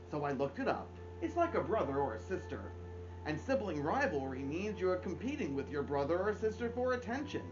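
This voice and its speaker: Very animated man reading aloud, dull sound. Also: fairly high pitch. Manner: expressive, theatrical emphasis with drawn-out pauses. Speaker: story-time host and reader. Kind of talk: animated children's audiobook-style read-aloud. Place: small furnished room at home, indoors.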